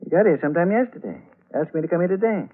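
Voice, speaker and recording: Sly high-voiced old blackmailer, tinny radio. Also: male voice, lively intonation, racing. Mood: sly, menacing. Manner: insinuating, terse, sly. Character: sly aging male blackmailer, smug and insinuating. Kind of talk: vintage radio thriller acting. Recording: tinny old radio recording, music behind.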